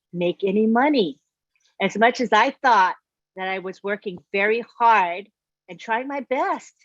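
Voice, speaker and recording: A woman's voice: mid-range voice, a bit bright, dry recording, highs cut off. Woman in her sixties telling her story. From Hawaii. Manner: chatty storytelling, frequent "you know". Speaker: guest speaker. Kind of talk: personal testimonial on a live stream.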